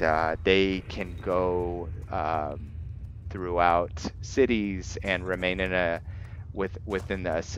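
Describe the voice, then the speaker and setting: A man giving casual, halting gameplay commentary with roleplay narration, thinking aloud as he goes. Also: deep voice, fairly bright tone. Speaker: gameplay video host. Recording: dull lo-fi mic, noisy background.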